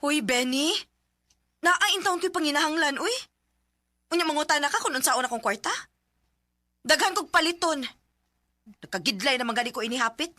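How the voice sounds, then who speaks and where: Woman acting high, bright-voiced young Cebuano wife. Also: lively intonation, moderate pace, pauses often, dry recording. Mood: irritated, scolding. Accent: Filipino, Cebuano. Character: young Filipino village wife, tender but sharp-tongued when crossed. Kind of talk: Bisaya radio drama acting.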